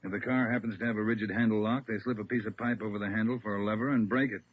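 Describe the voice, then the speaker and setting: An actor playing a grim, brisk police captain in a vintage radio drama, authoritative, clipped and factual. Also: male voice, fairly low pitch, fairly even intonation, breathy edge, phone-quality sound. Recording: thin, hissy old radio recording.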